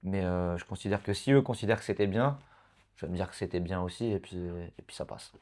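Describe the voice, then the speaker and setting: A man's voice: low voice, fairly quick, darkish tone. A man in his thirties born in Portugal, speaking as an interview guest, talking hesitantly with many fillers and laughs. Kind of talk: casual interview conversation.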